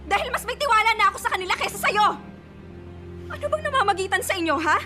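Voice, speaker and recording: A woman's voice: very high-pitched, animated, fast talker, over loud music. An actress playing a hot-tempered, betrayed young pregnant woman in a Filipino radio drama, with a Filipino accent and emotional, accusing delivery. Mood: angry.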